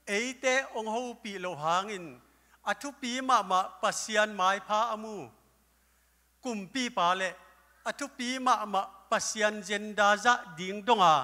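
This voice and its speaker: An older man preaching a sermon at a lectern with a handheld microphone, breaking into loud exclamations now and then. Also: high-pitched. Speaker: pastor. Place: church hall stage. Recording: live church hall with long reverb.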